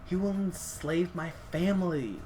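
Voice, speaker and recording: A man voicing a young female warrior student who speaks earnestly and pleads with her teacher, his voice lifted higher than his own. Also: measured pace, dark tone, a little hiss or hum. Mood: urgent pleading. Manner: pleading, raised pitch.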